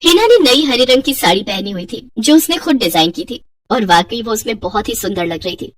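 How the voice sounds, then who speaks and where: A woman's voice: on the high side, lively intonation, a little fast, recorded from a distance. A woman narrating scripted moral tales for cartoons in a storytelling style.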